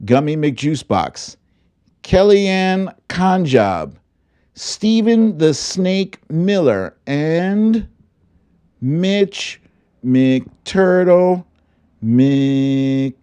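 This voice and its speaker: Theatrical male host with swooping, unhurried delivery. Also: a little high, expressive, dark tone, smooth. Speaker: host of a live online storytime show. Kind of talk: theatrical storybook reading mixed with casual livestream chat.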